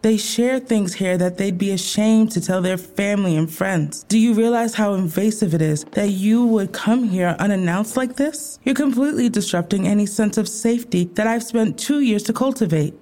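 A woman's voice: mid-range voice, moderate pace, few pauses, dark tone, lightly acted. A woman playing a protective, indignant therapy group leader in an audio drama, with a guarded, confrontational way of talking. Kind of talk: naturalistic audio drama dialogue.

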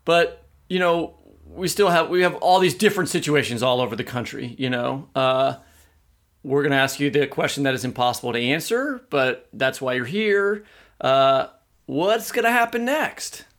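A man's voice: medium pitch, expressive, normal speed, fairly bright tone. An American man co-hosting a podcast, discussing things casually in an informal, opinionated way. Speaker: podcast co-host.

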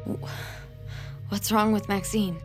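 A woman's voice: medium pitch, fairly even intonation, slow, lightly acted. An actress in an audio drama playing a blunt, impatient young woman with a pointed, direct way of talking. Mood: shocked. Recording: music underneath.

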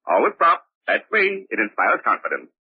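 Fussy, self-important salesman type, extremely sing-song pitch, muffled old radio drama sound. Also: huge pitch swings, normal speed, vocal fry, slightly rough, exaggerated voice. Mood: brisk, self-satisfied. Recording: muffled, faintly noisy old radio recording.

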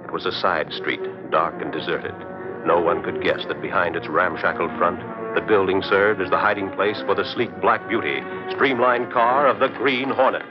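An actor playing a solemn narrator, reading dramatic scripted narration for a 1940s radio crime serial. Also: male voice, sits low, moderate pace, natural voice. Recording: dull old radio recording. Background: music.

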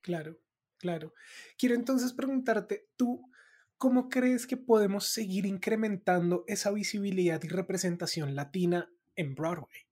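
A woman's voice: medium pitch, moderate pace, a little mellow, husky. A woman interviewing a guest, giving short affirming replies and asking brief questions.

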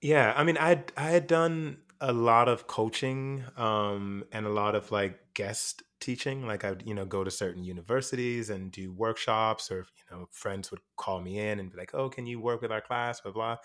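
A man born in Jamaica, an actor and teacher, talking over his experiences in a relaxed interview, with frequent fillers and restarts. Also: fairly low pitch, normal speed, few pauses. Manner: reflective, many fillers and restarts. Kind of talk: casual interview conversation.

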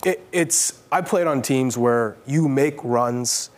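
A man's voice: medium pitch, takes it slowly. A man who is a former player and soccer pundit, breaking down play on a sports panel with emphatic repetition. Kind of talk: analytical sports panel discussion.

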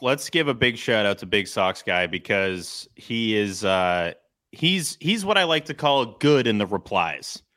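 A man's voice: lowish voice, sing-song, a little slow, fairly bright tone. A man hosting a sports podcast, chatting casually with a co-host.

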